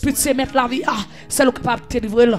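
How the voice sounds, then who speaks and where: A man leading an impassioned prayer, pleading and repeating phrases, half singing and at times shouting. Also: very high-pitched, steady tone, fast talker, faint music behind. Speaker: prayer leader. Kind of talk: fervent chanted prayer.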